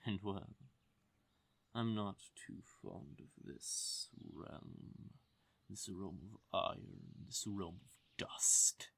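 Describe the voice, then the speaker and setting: A man acts out a fae king of fantasy audio roleplay, smug and possessive. His voice is low and gravelly, and he takes his time with every phrase. He speaks intimately to the listener, teasing and commanding with a silky menace. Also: fairly even intonation, fairly bright tone, heavily acted. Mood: smooth persuasion. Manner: slow, teasing, commanding, with drawn-out pauses.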